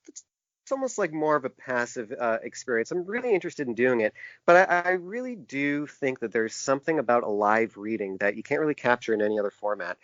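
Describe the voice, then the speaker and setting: A man with a mid-range voice talks casually as an interview guest. He is thoughtful and halting, restarting phrases as he works out his ideas. The sound is close and dry, slightly dull in fidelity. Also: medium pitch, fairly bright tone, dry recording. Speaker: podcast guest, author and publisher.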